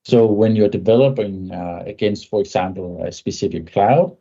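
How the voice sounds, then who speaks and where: Podcast guest, man, husky low voice on dull lo-fi audio, thoughtful, tags sentences with "right?". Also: moderate pace, warm tone. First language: Danish. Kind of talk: conversational podcast interview.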